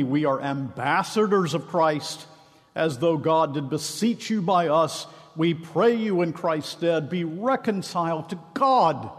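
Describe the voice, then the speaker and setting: A minister preaching a sermon, earnest and emphatic, stretching key phrases slowly. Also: male voice, a little high. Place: church sanctuary. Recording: long reverb of a large hall.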